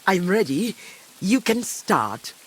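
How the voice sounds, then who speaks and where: An actress playing a frail, wistful old village widow in a medieval video game, speaking in a halting, fond way. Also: female voice, mid-range voice, big pitch swings, slightly rough, full character voice, poor audio. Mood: touched, delighted. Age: older. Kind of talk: dramatic video game dialogue.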